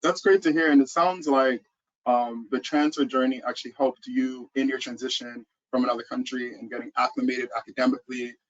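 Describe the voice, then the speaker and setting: A man's voice: mid-range voice, fairly even intonation, brisk, muffled recording. A man moderating a student panel, upbeat and affirming each answer.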